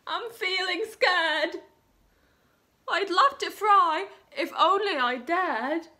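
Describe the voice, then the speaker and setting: A woman's voice: takes it slowly, bright, forceful tone, full character voice. A woman voicing a timid, easily frightened male cook in a children's storybook, with a shaky, quavering voice. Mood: fearful. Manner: shaky, high and quavering with fear.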